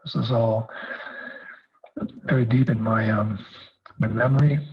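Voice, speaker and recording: A man giving a recorded video greeting, speaking haltingly with frequent fillers and restarts. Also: medium pitch, steady tone, husky, lo-fi sound. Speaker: guest speaker in a video message.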